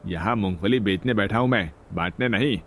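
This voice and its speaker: A man voicing a gruff street peanut seller with drawn-out, repetitive hawker's calls in a moral story. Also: on the low side, a bit bright. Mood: harsh, dismissive. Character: gruff street peanut vendor hawking his wares. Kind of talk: Hindi moral story acting.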